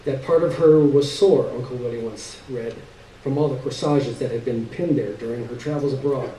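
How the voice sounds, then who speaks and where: A man's voice: medium pitch, normal speed, mellow. A man giving a dramatic literary reading of a short story on stage. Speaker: story reader. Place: live stage reading in a room. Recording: echoey room, distant mic, faint noise.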